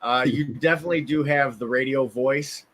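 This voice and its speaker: A man sits in as a guest on a casual podcast. He speaks hesitantly, with many pauses and fillers, and his voice sits at an ordinary pitch. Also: a bit bright. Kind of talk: casual chat.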